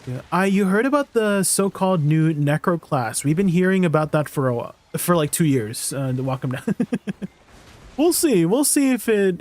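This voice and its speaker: A man's voice: on the high side, a little mellow. A man live-streaming, chatting casually with viewers and thinking aloud with lots of fillers and restarts.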